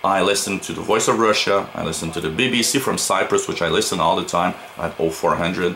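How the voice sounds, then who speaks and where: A man giving an unscripted product review as a reviewer, in a halting way with frequent pauses. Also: low-pitched, pushed and bright. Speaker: radio reviewer. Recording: noticeable background noise.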